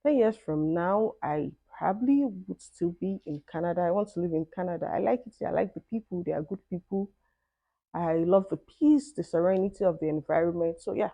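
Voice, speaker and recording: Nigerian woman, low mellow voice. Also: a little fast, no echo, low-quality recording. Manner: thoughtful, conversational, with "I mean" asides. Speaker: vlogger. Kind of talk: Q&A vlog, reading questions and answering.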